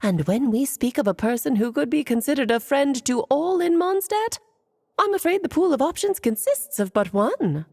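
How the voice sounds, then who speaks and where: A woman's voice: high voice, animated, normal speed, dark tone, dry recording, studio quality. A woman playing a playful, scheming, self-assured mage aunt in a video game, airy and teasing in a theatrical way. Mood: coy, coaxing.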